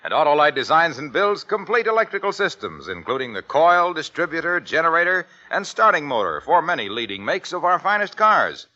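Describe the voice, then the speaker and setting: A man's voice: fairly high pitch, big pitch swings, a little fast, few pauses, sharp tone. A man reading a vintage radio commercial in an emphatic salesman's manner. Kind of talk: scripted 1940s-50s radio ad. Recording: dull vintage radio recording.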